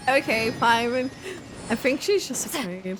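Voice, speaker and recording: A woman's voice: high voice, sharp tone, a little hiss or hum. A woman giving casual, giggly, slangy live reaction commentary as a gaming YouTuber.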